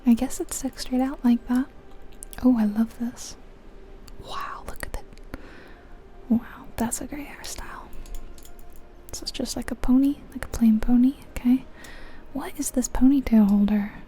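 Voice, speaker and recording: A woman whispering breathily in ASMR style, giving gentle, chatty commentary on a game as she goes. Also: on the high side, steady tone, a little slow, pauses often. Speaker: ASMR video host.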